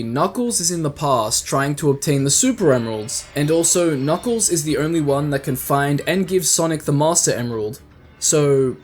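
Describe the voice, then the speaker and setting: A man hosts a scripted video essay and reads it in a conversational way, tossing in playful asides. His voice sits a little high for a man. Faint music plays underneath. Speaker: YouTube host.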